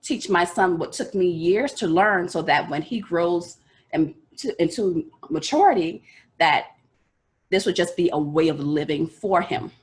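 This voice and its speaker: A woman from the Bahamas with a Bahamian accent leads a motivational lesson on life goals. Her voice sits low and deep. She talks earnestly and directly, and her pace often runs fast. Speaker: life coach teaching a session. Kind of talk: motivational teaching talk.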